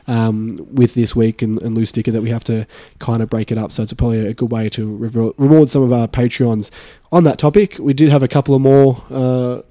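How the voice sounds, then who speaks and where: A man's voice: medium pitch, fairly even intonation, brisk, few pauses, warm tone, no room sound. A man hosting a casual podcast from a share-house studio, a bit sick and nasal, rambling with lots of fillers. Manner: rambling, many filler words. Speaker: podcast host.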